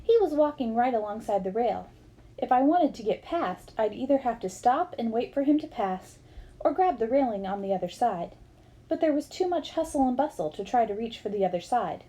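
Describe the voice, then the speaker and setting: A woman's voice: on the high side, lively intonation, moderate pace, dark tone. A woman reading a memoir aloud as scripted storybook narration. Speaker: reader of a book aloud.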